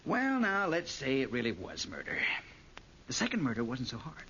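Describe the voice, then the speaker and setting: An actor playing a brash, flirtatious American gambler who teases and probes in a 1940s radio drama. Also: male voice, mid-range voice, huge pitch swings, moderate pace, a bit bright. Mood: insinuating. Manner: glib, teasing. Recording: muffled old radio recording, faint noise.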